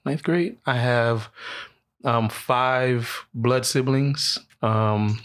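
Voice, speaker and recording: A man's voice: medium pitch, drawn-out words. A man in his late thirties from the Bahamas, telling funny personal stories as a guest in a casual chat, laughing often. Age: 30s. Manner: animated storyteller, laughs often. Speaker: podcast guest. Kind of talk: casual storytelling interview.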